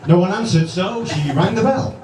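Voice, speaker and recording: Male comic narrator, theatrical pauses, highish swooping pitch, spoof radio storytelling. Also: lively intonation. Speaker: narrator of a live comedy sketch. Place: large indoor club event before live audience. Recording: echoey noisy hall, distant microphone.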